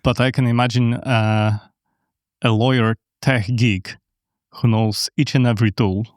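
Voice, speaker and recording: A man's voice: mid-range voice, steady tone, a little slow, dry recording, studio quality. A man whose first language is Polish, hosting a podcast and interviewing guests with brief questions and mm-hmms. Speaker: podcast host.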